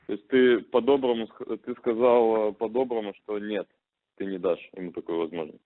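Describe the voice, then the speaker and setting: Low-voiced male interpreter on a phone line. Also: fairly even intonation, a little fast. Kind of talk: consecutive interpreting on a press call. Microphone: telephone conference call. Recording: tinny phone line, faint noise, clicks.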